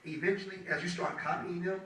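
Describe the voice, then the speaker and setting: A young man in his twenties with a hoarse voice, giving a casual motivational talk to an audience as a guest music producer. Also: high voice, fairly even intonation, quick pace, sharp tone, a little hiss or hum. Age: 20s. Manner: loose and chatty, keeps asking the crowd "you feel me". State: hoarse from talking. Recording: echoey hall, mic at a distance.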